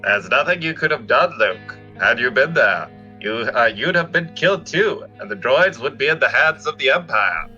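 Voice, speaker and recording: A man's voice: medium pitch, expressive, moderate pace, few pauses, pushed and bright. A man playing a wise, cautious old Jedi hermit in an amateur table read. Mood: grave. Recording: dull recording with music behind.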